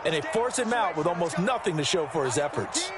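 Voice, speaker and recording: A man's voice: high voice, sing-song, a little fast, over a noisy crowd. A man with an American accent voicing an earnest color commentator explaining plays in a football video game. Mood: earnest, animated.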